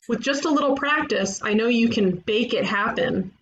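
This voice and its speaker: A fairly high voice from a woman plays a cocky, bossy cartoon detective. She teases and accuses boldly, over faint background noise. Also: normal speed, natural voice, low-quality recording. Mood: teasing. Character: brash, bossy young female ghost detective who loves puns. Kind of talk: animated comedy script table read.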